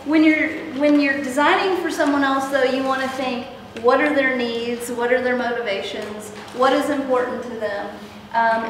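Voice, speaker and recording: A middle-aged woman leading an interactive workshop, speaking with frequent ums and checking in with the room. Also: a little high. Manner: upbeat, many ums, asks the room questions. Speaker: workshop instructor. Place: large meeting room with projector. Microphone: far camera, no mic. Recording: echoey room, distant, noisy.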